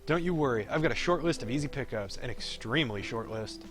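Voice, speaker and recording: A man's voice: medium pitch, expressive, fast, fairly bright tone, lightly acted. A man voicing a wry, cocky young pro gamer from a visual novel, teasing and breezy. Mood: friendly, wry. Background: game music.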